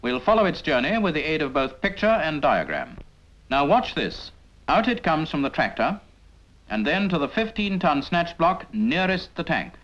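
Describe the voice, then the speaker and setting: A man's voice: fairly high pitch, big pitch swings, moderate pace, fairly bright tone. A British man narrating a scripted 1950s military training film in an instructional manner, speaking directly to the trainee. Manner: didactic, addresses the trainee with rhetorical questions. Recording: dull 1950s film soundtrack.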